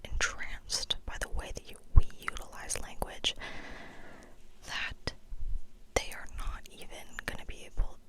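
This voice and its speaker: A woman whispering a chatty, tongue-in-cheek ASMR monologue full of internet slang, sometimes repeating phrases slowly. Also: poor audio. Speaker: ASMR video creator.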